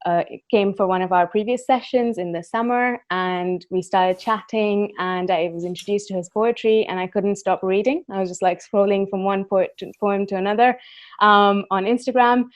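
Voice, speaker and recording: A woman hosting a casual webinar chat as a volunteer, welcoming in manner and pausing often with ums and restarts, on a computer mic over a video call. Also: mid-range voice, steady tone. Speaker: host and volunteer. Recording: dull lo-fi webinar audio.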